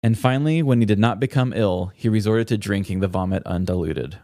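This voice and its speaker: A man's voice: fairly low pitch, a little fast, dark tone, no room sound, studio quality. A man with an American accent co-hosting a podcast, telling stories and chatting casually with a wry, joking manner. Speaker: podcast co-host. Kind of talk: casual chat and storytelling.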